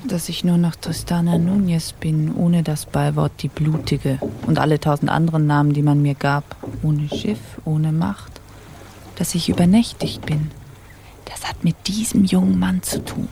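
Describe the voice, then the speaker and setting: A woman's voice: low-pitched, fairly even intonation, normal speed, creaky, noisy background. A woman playing a deposed pirate captainess in a radio drama, formal, dignified and weary. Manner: formal, dignified, self-deprecating. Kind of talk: German radio drama acting.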